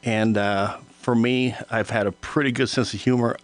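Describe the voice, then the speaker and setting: A man in his fifties, from Cuba, chatting as a guest on a radio show, relaxed, laughing easily and stammering on his starts. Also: mid-range voice, moderate pace. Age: 50s. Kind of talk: casual radio interview.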